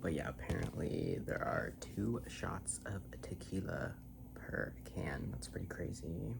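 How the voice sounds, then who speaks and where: A very creaky, low-pitched voice, drifting between soft speech and breathy whispers. The delivery is a slow, playful ASMR ramble with a mock-grandiose streak, spoken close to the mic. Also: sing-song. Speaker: ASMR video creator.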